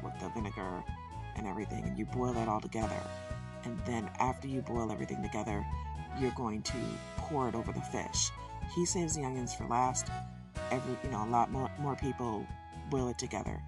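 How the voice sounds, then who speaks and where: A woman's voice: extremely low pitch, far from the mic. Folksy woman, home-cook vlogger, picked up from a few feet off the mic, with guitar music in the background. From Detroit, USA. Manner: chatty and folksy, with self-amused asides and corny jokes. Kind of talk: casual cooking vlog.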